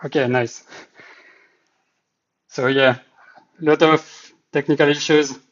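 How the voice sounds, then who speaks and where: A man's voice: a little high, steady tone, slow pace. A Swiss man whose first language is French, speaking English with a French accent, hesitantly thinking aloud with many fillers while he sets up his live development stream. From Switzerland. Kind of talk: casual live dev stream. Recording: slightly dull lo-fi stream mic.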